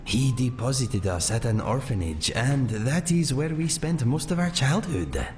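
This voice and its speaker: A man playing a gentle, courteous cat-folk sellsword in a fantasy game, speaking in measured, formal phrases without contractions. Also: medium pitch, very animated. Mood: wry, reminiscing. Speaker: companion character in a fantasy video game. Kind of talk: scripted game dialogue. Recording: faint music under the voice.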